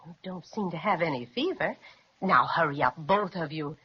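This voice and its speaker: An actress playing a gentle, fretting mother of two children, with a breathy voice, in a 1930s radio serial. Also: sits low, lively intonation, normal speed, exaggerated voice. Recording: muffled old radio recording.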